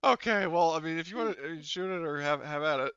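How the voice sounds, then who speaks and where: A man's voice: on the high side, fast talker, a bit bright, clear, resonant tone, no echo, lo-fi sound. A man casually refereeing a tabletop game as game master, thinking aloud in an informal way.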